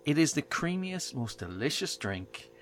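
A man's voice: on the high side, measured pace, noisy background. A young man with an Irish accent from Monaghan, chatting and joking as a podcast co-host. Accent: Irish, from County Monaghan. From Ireland. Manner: joking, lively storyteller. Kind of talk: casual chat.

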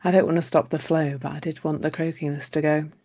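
A woman talks gently and thoughtfully in a live session, her low-pitched voice slightly croaky from a sore throat. She often restarts her phrases as she reflects out loud. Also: level tone, fast talker. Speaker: coach. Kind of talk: live talk on relationships.

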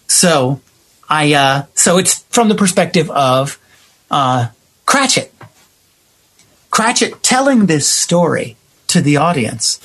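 Emphatic, husky man with animated delivery. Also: on the high side, takes it slowly, pauses often, poor audio. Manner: emphatic, explanatory, acting out imagined remarks. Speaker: guest, playwright and director. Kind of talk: impassioned conversational interview talk.

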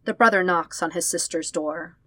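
A woman's voice: fairly low pitch, moderate pace, a bit bright. A woman reading fan fiction aloud as a scripted story narration. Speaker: podfic narrator.

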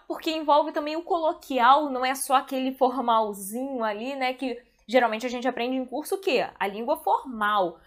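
A woman's voice: sits high, a bit bright. A woman with a Brazilian Portuguese accent, a translator talking chattily and directly to her viewers. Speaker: translator and video host. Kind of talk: casual talk to camera.

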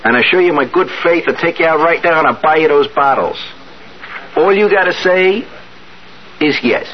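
An actor playing a young, scheming, slangy street hustler in a 1970s radio drama. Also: male voice, a little high, lively intonation, normal speed, lightly acted. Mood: sly, coaxing. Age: young adult. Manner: slangy, insistent. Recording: dull, noisy 1970s radio recording.

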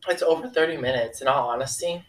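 A man's voice: fairly high pitch, fast talker, roomy sound. A young man co-hosting a podcast in casual, joking chat. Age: young adult. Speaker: podcast co-host.

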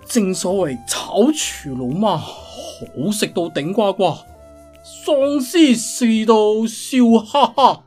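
A man's voice: high-pitched, animated, takes it slowly, a little mellow, faint music behind, heavily acted. Man playing a henpecked Hong Kong husband, swooping voice. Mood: playful. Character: henpecked husband, flattering and clownishly despairing. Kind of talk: comedic Cantonese radio drama.

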